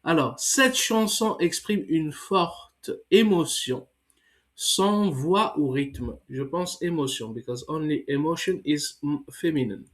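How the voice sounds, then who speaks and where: A man with a cold, slowly reading a text for learners and then explaining exercises in English with many hesitations. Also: on the high side, animated. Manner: slow, careful reading with hesitant fillers. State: has a cold. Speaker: French teacher.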